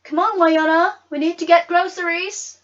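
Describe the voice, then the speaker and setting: A woman's voice: very high voice, fairly even intonation, normal speed, a bit bright, lo-fi sound, pitched above the performer's own voice. A woman voicing a hard-working, firm but caring mother in a storybook reading. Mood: calm, firm.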